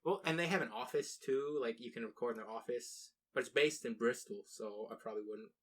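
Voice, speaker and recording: A young American man co-hosting a loose podcast conversation, voice in an ordinary middle pitch. He rambles, restarts his sentences and swears freely as he talks. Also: a little fast, fairly bright tone, slightly rough. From United States. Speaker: podcast co-host. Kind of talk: casual chat.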